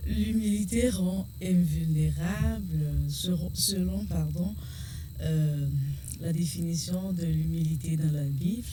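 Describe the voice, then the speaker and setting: A woman's voice: on the low side, a little slow, mellow, a little hiss or hum. A woman co-hosting a radio show, speaking in a reflective, preacher-like way. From Togo. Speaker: radio co-host. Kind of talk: casual radio talk.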